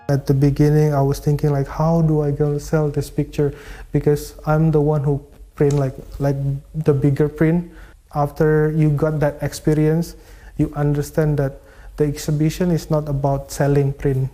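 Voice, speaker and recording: A man who is a photographer answers interview questions in halting non-native English, repeating words and using fillers. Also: fairly high pitch, monotone, mellow, faint music behind, low-quality recording.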